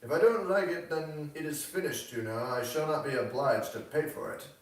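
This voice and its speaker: A man voicing a good-natured, plain-spoken American gentleman abroad in a novel, emphatic and blunt. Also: on the high side, moderate pace, heavy reverb, distant mic, voice pushed up, close to an everyday voice, rough recording. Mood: hesitant, blunt.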